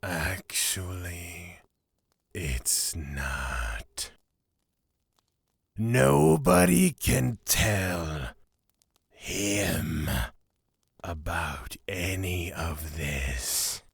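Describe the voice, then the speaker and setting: A grim god of fear in a fantasy audio drama. The voice is low and full of gravel, husky and very slow. Every phrase lands ominous and deliberate. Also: male voice, expressive, no room sound, clean studio sound, exaggerated voice. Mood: stern. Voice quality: gravelly, raspy.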